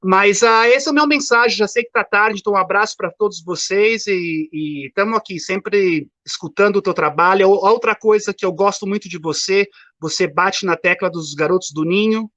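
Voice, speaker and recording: A man's voice: sits high, normal speed, bright, forceful tone, no echo. A man, calling into a live show and chatting in a rambling way with many fillers and restarts. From São Paulo, Brazil. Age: 50s. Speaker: caller. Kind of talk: casual chat. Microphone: remote call.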